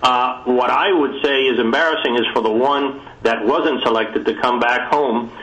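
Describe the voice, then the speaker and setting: A man with a medium-pitched voice speaks on an echoey telephone conference. He sets out his argument formally and deliberately, pausing and repeating words as he goes. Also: fairly bright tone. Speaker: committee call participant. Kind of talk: conference call discussion.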